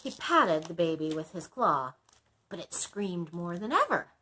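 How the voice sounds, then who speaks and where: A woman's voice: on the low side, big pitch swings, a little slow, background noise. A woman reading a children's story aloud as a librarian, expressively, with small asides to listeners.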